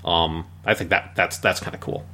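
A man's voice: low voice, steady tone, normal speed, pushed and bright. A man hosting a casual podcast discussion, thinking aloud in a conversational way. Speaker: podcast host.

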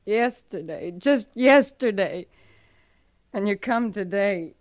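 An actress playing a grieving, bitter and stubborn young frontier widow in a radio western, with a drained, dramatic delivery. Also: female voice, medium pitch, animated, slow pace, dry recording. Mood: numb grief. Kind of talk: 1950s radio western acting.

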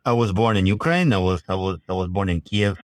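A man, talking as a podcast guest in a halting, unhurried way with many restarts. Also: low voice, no room sound, clean studio sound. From grew up in the Russian Caucasus. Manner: halting, restarts phrases, laughs easily. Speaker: podcast guest, ice climber. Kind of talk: casual interview talk.

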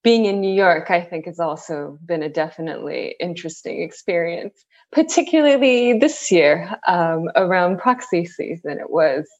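A woman's voice: fairly low pitch, lively intonation, moderate pace, dull audio. A woman in her forties from Southern California answers interview questions in a wry, self-deprecating way. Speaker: guest.

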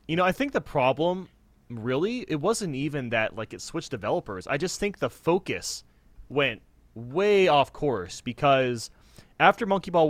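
Male, twenties, casual opinionated podcast talk, medium pitch, dry recording without room echo. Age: 20s.

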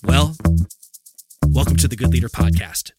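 A man reading a scripted podcast intro in an earnest, measured way. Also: sits low, very animated. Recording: voice over loud music bed.

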